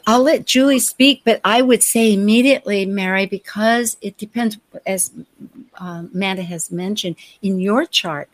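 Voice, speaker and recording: A woman hosting a live discussion, explaining things conversationally in a measured way. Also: medium pitch, no room sound. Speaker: host and astrologer.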